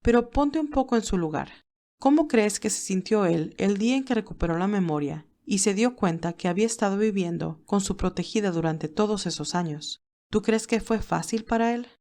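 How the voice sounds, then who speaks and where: A woman playing a wise, gentle older motherly mentor, speaking in a measured, reassuring way in an audio drama. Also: mid-range voice, close to an everyday voice. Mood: firm, reassuring. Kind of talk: audio drama character acting.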